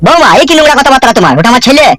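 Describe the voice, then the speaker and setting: A man's voice: extremely high pitch, big pitch swings, racing, a bit bright, exaggerated voice. A man voicing an older village uncle in a comedy cartoon, gossipy, commiserating and grumbling. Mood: exasperated.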